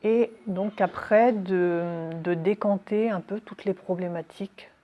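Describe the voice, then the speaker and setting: A woman's voice: medium pitch, steady tone, unhurried, highs cut off. A middle-aged woman speaking in an earnest, reflective way, as a nurse giving an interview about her work. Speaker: hospital nurse. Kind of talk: documentary interview testimony.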